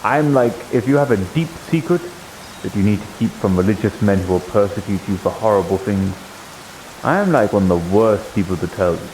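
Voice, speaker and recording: A man acts out a probing, shrewd abbey dweller in an online fantasy roleplay. His voice sits low and his phrasing is formal and insinuating. A light hiss runs under the recording. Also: expressive, brisk, darkish tone, rough recording. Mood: measured, wry. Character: shrewd, probing male abbey member pressing for secrets. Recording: hissy live stream microphone.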